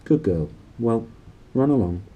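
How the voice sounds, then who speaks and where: A man reading a storybook, voicing a plump elderly clergyman who is kindly, genteel and gently probing. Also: mid-range voice, lively intonation, measured pace, husky, narrow, tinny audio. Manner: genteel, paternal, questioning.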